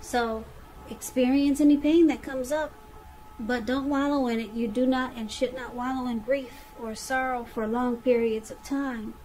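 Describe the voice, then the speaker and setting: A woman's voice: high-pitched, steady tone, normal speed, darkish tone, a little hiss or hum, rough recording. A woman giving a conversational tarot reading straight to the listener, repeating phrases for emphasis. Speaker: tarot reader.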